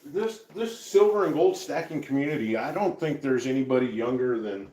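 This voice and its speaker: A man's voice: mid-range voice, expressive, normal speed, warm tone, poor audio. Easygoing West Texas man in his forties. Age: 40s. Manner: easygoing, restarts often, drawls "y'all". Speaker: livestream co-host. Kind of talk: casual kitchen chat on a livestream. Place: home kitchen.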